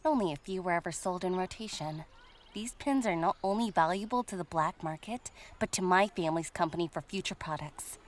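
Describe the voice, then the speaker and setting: A woman's voice: lowish voice, a little fast, natural voice. A woman playing an arrogant, spiteful rich girl rival with a cutting manner in an anime-style audio drama. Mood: cold, scheming.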